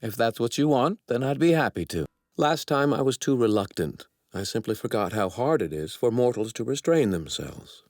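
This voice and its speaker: A man's voice: medium pitch, lively intonation, moderate pace, darkish tone, no echo, studio quality, lightly acted. A man in an anime dub voicing an arrogant young apprentice god, a righteous villain who speaks formally and precisely with courteous menace. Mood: smoothly polite. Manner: formal, haughty, precisely worded.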